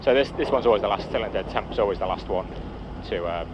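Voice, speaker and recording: Man, lowish pitch, flight instructor, informal explaining, helicopter cockpit, very loud engine noise. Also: fast talker, highs cut off.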